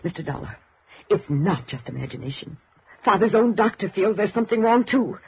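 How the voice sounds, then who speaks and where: An actress playing a suspicious, grieving daughter in a 1950s radio drama, halting and emotionally insistent. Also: female voice, medium pitch, very animated, slightly rough, exaggerated voice. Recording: dull, noisy old radio recording.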